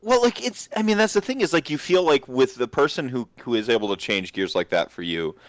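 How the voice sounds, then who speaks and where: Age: adult. Sex: man. Pitch: middle. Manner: animated, profane, casual chat. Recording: dry, close. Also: fairly quick, no echo. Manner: animated, swears freely, acts out quoted voices. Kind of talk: casual hobby stream chat.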